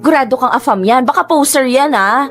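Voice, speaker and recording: A woman playing a young computer shop attendant who is nosy and bossy, with a brash delivery and quick questions. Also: sits high, faint music behind. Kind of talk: radio drama acting.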